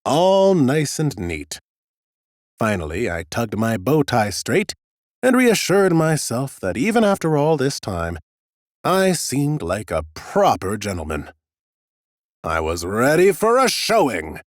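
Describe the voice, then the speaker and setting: A man narrating an audiobook in the first person as an ancient undead scholar, a fussy, smug, whimsical gentleman with a theatrical, drily humorous delivery. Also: mid-range voice, wildly swooping pitch, slow, dry recording, studio quality. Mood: self-satisfied.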